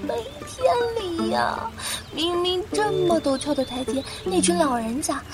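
A woman acting a lively young university student in a Chinese radio drama, with a youthful, chatty way of talking. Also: very high voice, a little slow. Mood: exhausted complaining. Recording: music behind the voice.

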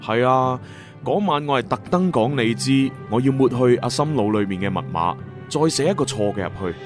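A man's voice: medium pitch, expressive, a little fast, music in the background, lightly acted. A man with a Hong Kong Cantonese accent acting a boastful, crafty senior agent from the future in a sci-fi radio drama. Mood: smug, revealing.

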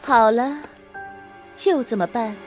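An unhurried, highish female voice over soft music. a senior assassin in a costume audio drama, teasing and self-assured, with a wistful side. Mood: cool, amused.

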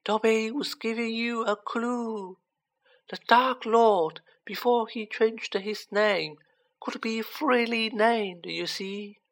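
A little male elf servant from a storybook, squeaky and pitched far up. He draws his words out slowly, with wide-eyed devotion and earnestness. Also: steady tone. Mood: earnest. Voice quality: shrill, squeaky. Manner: slow, drawn-out, wide-eyed, speaks of himself in third person.

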